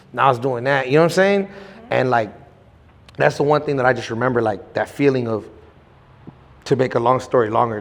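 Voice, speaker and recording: A man's voice: mid-range voice, vocal fry, in a large hall. A young man telling his story in a casual interview, rambling with lots of restarts and slang. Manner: rambling, slangy, many restarts and "you know what I'm saying". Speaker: guest.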